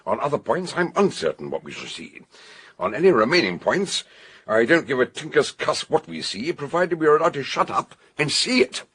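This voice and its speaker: An actor playing a blunt, eccentric detective doctor in a radio drama, emphatic and theatrical. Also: male voice, a little high, very animated, moderate pace, a bit bright, breathy edge, heavily acted. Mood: testy. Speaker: detective and narrator. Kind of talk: radio drama acting and narration.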